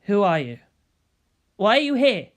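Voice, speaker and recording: A woman voicing a fastidious male secretary, a villain who protests with feigned bewilderment in an audiobook story. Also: mid-range voice, big pitch swings.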